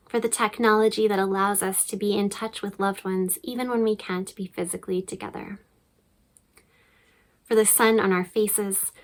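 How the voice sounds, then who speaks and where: A woman speaks as a minister giving a prepared sermon. Her voice sits at an ordinary middle pitch, and her delivery is measured and reflective, following a written text. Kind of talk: scripted sermon.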